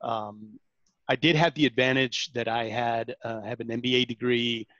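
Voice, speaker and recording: A man in his forties from Venezuela, a sales director talking as a podcast guest, halting often with ums and restarts. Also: mid-range voice, steady tone, fairly bright tone, no echo, muffled recording. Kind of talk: interview conversation.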